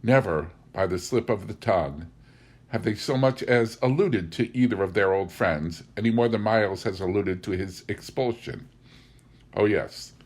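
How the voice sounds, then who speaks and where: A man's voice: lowish voice, a little mellow. Man reading as fervent, agitated governess, sweeping intonation. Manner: insistent, fervent, emphatic. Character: intense, agitated governess, an anxious young woman.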